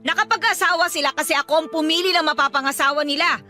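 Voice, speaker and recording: A woman's voice: sits high, fast talker, bright, forceful tone, soft background music. A woman acting a domineering, status-conscious mother in a Filipino radio drama, scolding and commanding with threats. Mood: stern, scolding.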